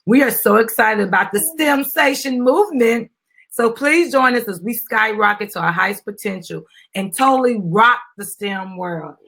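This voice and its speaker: A middle-aged woman with a slightly husky voice, reading a children's book aloud and chatting with a child and audience. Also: on the high side, lively intonation, normal speed, fairly bright tone. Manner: addresses the child and audience, prompting with questions. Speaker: mother reading a children's book with her daughter. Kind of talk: dramatic storybook reading with casual asides.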